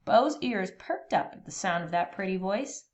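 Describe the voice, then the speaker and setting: A woman reading a children's storybook aloud expressively, with dramatic, deliberate pauses between phrases. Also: mid-range voice, expressive, a little mellow, dull audio.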